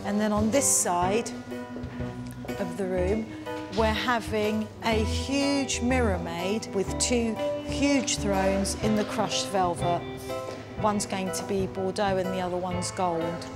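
A woman's voice: mid-range voice, fairly even intonation, takes it slowly. A middle-aged woman speaking emphatically and dramatically in a documentary interview. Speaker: hotel owner. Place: large bare room under renovation. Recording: prominent music under the speech.

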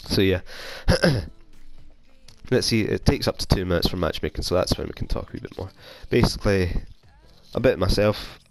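Scottish man, young, low voice, bit of a cold, rambly self-mocking game chat, faint game music behind. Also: lively intonation, moderate pace, no room sound. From Scotland. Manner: rambling, self-deprecating, chatty. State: has a cold. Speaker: gaming YouTuber. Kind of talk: casual gameplay commentary. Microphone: console headset mic.

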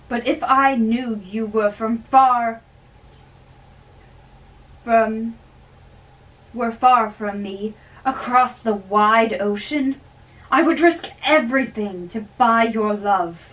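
A woman acts out an earnest young man, reckless with love, in an amateur play reading. The delivery is slow and halting. It is picked up from across a room. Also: a little high, a bit bright. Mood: tender devotion. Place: small bedroom, indoors. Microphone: far camera. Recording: distant room microphone.

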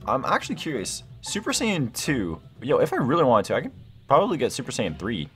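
A young man giving excited, casual gameplay commentary, rambling and thinking aloud in slangy exclamations. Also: mid-range voice, brisk, faint music behind. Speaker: gaming video host.

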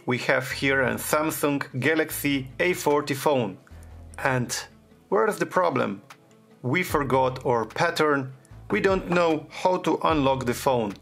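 A man's voice: fairly high pitch, vocal fry, fairly bright tone. A man hosting a phone repair tutorial, giving plain step-by-step instructions with frequent hesitations. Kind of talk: step-by-step how-to narration.

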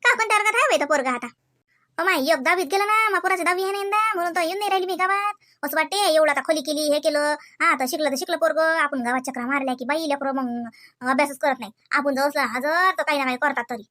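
A woman's voice: high voice, quick pace, bright, forceful tone. A woman playing a chatty village housewife and mother, exclaiming and gossiping in rustic Varhadi Marathi. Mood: gossipy. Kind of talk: Marathi comedy cartoon dialogue.

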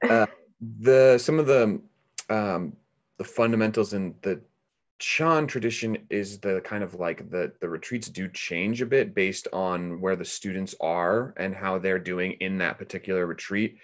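A middle-aged man with a very deep voice speaks on a video call. He gives reflective interview answers and often halts to search for the next word. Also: measured pace. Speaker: interviewed volunteer, meditation practitioner. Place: indoor room on a video call. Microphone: video call microphone.

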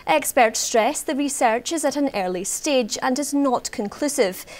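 A woman's voice: high voice, lively intonation. A Scottish woman in her thirties reading scripted news formally as a studio presenter. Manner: formal, measured delivery. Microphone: lapel mic.

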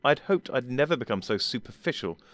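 A man's voice: medium pitch, brisk, dry recording, close to an everyday voice. A man voicing a cool, dispassionate older man. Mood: calm. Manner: calm, dry, gently teasing.

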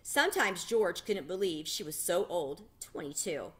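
A middle-aged woman reading a children's novel aloud with expressive, dramatic delivery. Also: lowish voice, a little slow. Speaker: read-aloud storyteller. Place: small home room with bookshelves.

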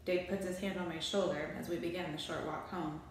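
A woman's voice: fairly low pitch, steady tone, a little fast, slight background noise. A woman in her thirties reading a children's storybook aloud with expression. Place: small bare room indoors. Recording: very echoey small bare room.

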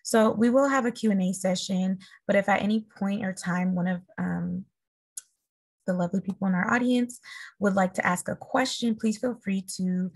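A woman in her thirties moderates a video panel with a low, gravelly voice that has a husky edge. She speaks politely and formally, and the sound is dry and close. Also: normal speed, no echo. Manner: polite and formal, leads the questions. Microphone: laptop mic on a video call.

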